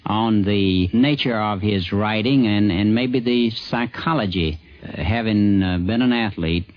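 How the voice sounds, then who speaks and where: A man recalls things thoughtfully and haltingly in an unscripted interview. His voice is somewhat low and very unhurried. It comes through a dull 1950s radio recording. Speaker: newspaper sports editor.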